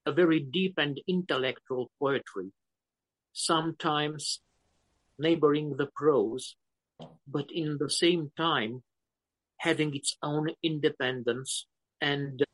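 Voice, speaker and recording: A man in his fifties with a Romanian accent, answering interview questions slowly and haltingly, often pausing to search for words. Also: on the high side, no room sound, rough recording. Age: 50s. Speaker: poet guest.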